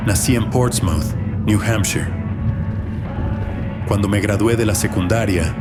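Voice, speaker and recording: A man giving steady, scripted first-person narration of a horror story. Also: fairly low pitch. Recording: loud music underneath.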